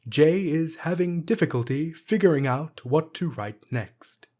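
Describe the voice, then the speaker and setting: A man voicing a solemn storybook narrator from a fantasy game, slow, deliberate and dramatic. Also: fairly high pitch, warm tone. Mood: solemn, storytelling.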